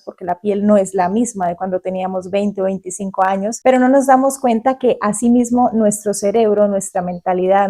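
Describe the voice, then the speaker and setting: A warm, mellow-voiced woman in her forties from Colombia talks earnestly to her viewer. She speaks at a steady, unhurried pace in a reflective monologue. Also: medium pitch. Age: 40s. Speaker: vlogger. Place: outdoors in the countryside facing mountains.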